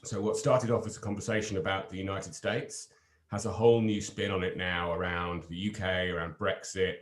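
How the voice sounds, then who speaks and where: A man leading an online panel discussion, explaining things in a measured way with the odd filler. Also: sits low, flat delivery. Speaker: panel lead presenter.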